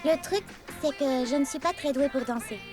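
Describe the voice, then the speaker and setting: A helpful, earnest young cartoon girl, voiced by a woman, speaks eagerly and stands her ground. The voice is very high, with loud music underneath. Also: moderate pace, natural voice. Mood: sweet, helpful. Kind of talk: French cartoon dubbing.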